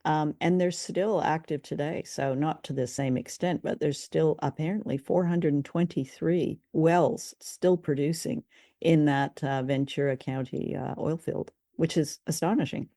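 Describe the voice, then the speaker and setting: A woman's voice: deep voice, fairly even intonation, measured pace, mellow, no room sound, clean studio sound. A woman co-hosting a radio show, chatting casually and conversationally as she reviews drama.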